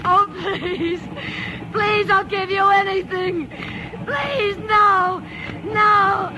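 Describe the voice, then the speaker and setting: Panicked bedridden woman, desperate sobbing pleas, very high voice, slow drawn-out words, hissy old radio recording. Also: heavily acted. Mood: terrified. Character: terrified bedridden woman begging for her life. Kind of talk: 1940s radio acting. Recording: noisy old radio broadcast.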